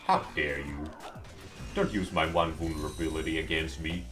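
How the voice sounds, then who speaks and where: A man with a deep, low voice giving sarcastic, joking commentary while playing a game. Faint game music plays softly behind him. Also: little pitch movement, normal speed. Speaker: streamer. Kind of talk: gameplay commentary.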